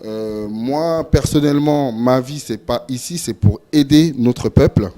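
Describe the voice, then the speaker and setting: A young man from France speaking on a discussion panel, halting and full of hesitations and repeated words. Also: mid-range voice, warm tone. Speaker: panel speaker, event organizer.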